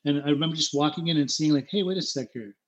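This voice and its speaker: A middle-aged man, born in Germany and raised in Utah, telling his own story as an interview guest in a candid, halting way, often repeating words and growing animated as he retells scenes. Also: on the high side, dull audio.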